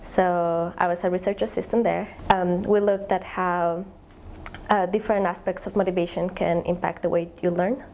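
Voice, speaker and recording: A woman's voice: on the low side, level tone, moderate pace, a little mellow, slight background noise. A young woman, a graduate student explaining her research in an interview, thoughtful and searching for words. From Ecuador. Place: eye tracking lab.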